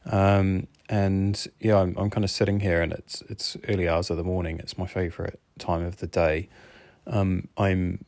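Low, flat-voiced New Zealand man. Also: moderate pace, no room sound. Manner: earnest, thinking aloud, hesitant. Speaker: podcast host, fitness coach. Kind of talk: unscripted solo podcast musing.

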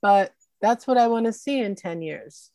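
A woman who organizes a film festival, answering interview questions in an earnest, expressive way and often adding "right?". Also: mid-range voice, normal speed, no room sound.

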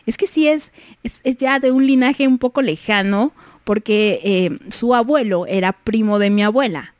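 A woman's voice: a little high, normal speed, no echo. A young Mexican woman hosting a livestream, chatting casually, reading messages and giggling often. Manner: giggly, chatty, many fillers. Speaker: livestream host.

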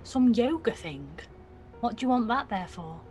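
A woman's voice: fairly high pitch, expressive, normal speed, a little mellow, faint music behind, lightly acted. An actress playing a sulky, defiant nineteen-year-old girl in an audio play, blunt and slangy. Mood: blunt, puzzled. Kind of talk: audio theatre acting.

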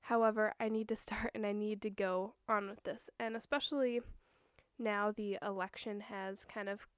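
A young woman hosting her own podcast, talking in a chatty, earnest way with a voice that is hoarse from a cold. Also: medium pitch, steady tone, moderate pace, dry recording, clean studio sound. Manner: earnest, chatty, a little nervous. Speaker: podcast host. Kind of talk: casual solo podcast talk.

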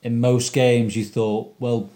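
A man with a warm, dark voice discusses a match on a fan podcast. He is earnest and analytical as he talks it through. His pitch is ordinary. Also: flat delivery, slow pace. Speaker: podcast co-host.

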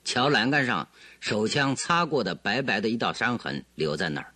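An assured detective with animated, dry studio delivery. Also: male voice, lowish voice, moderate pace, no room sound. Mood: assured, explaining. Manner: expository, assured, theatrical. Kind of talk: Chinese radio drama acting.

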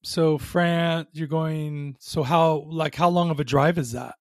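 A podcast host interviews a guest in an easygoing, informal style. The voice is mid-pitched and recorded very dry, with an encouraging way of asking questions. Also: steady tone, takes it slowly, dry recording. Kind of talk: casual interview chat.

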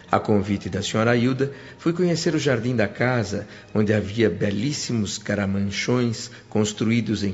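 Reverent male narrator, Brazilian, over music. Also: medium pitch. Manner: measured, reverent, formal. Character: André, earnest grateful newcomer man telling his story. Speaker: narrator and protagonist. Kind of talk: dramatized radio serial narration. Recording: dull radio-drama recording with music.

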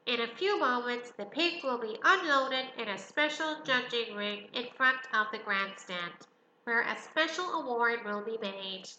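A pompous, self-important fairground announcer from a storybook, played by a woman reader, with a high-pitched and very sharp, bright voice. The delivery is formal and grand, in a roomy, echoing space. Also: a little slow, lo-fi sound. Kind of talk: storybook character reading. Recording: roomy echo, faint noise.

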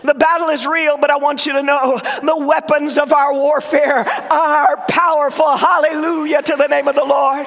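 A fervent Southern pastor, a man with a very high, bright voice that rings out sharply. He preaches with swings from shouts down to hushed whispers, and a long hall reverb trails his words. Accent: Southern US (Oklahoma). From Oklahoma, USA. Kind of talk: sermon.

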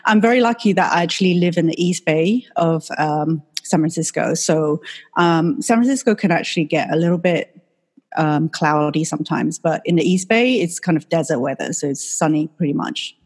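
A woman's voice: deep voice, normal speed, slightly rough. A middle-aged woman from Taiwan, a native Mandarin speaker, talking as a doctor guest on a podcast in a friendly, reflective way with fillers. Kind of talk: casual podcast interview.

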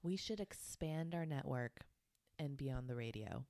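A young woman from Texas co-hosting a casual podcast, playful and animated, swinging between loud and soft. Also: a bass voice, moderate pace, dry recording, clean studio sound. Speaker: podcast co-host. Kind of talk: casual chat.

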